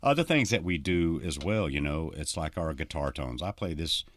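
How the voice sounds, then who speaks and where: A man with a deep voice, pitched at the very bottom of the range, talks as a guest on a podcast. He is a touring country musician who tells stories loosely and circles back with plenty of "you know". The sound is dry and close, with no room echo. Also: animated, normal speed. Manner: rambling storyteller, many "you know"s. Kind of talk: casual podcast conversation.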